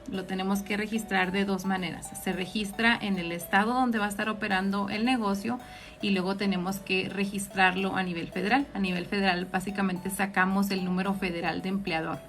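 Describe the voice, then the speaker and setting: A woman with a northern Mexican accent hosting an informal live question-and-answer session, explaining things directly to her listeners. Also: mid-range voice. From Ciudad Juárez, Mexico. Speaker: host, accountant answering audience questions.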